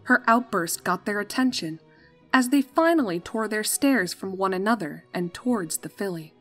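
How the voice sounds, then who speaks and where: A woman's voice: mid-range voice, lively intonation, normal speed, a bit bright. Woman narrating a story over music.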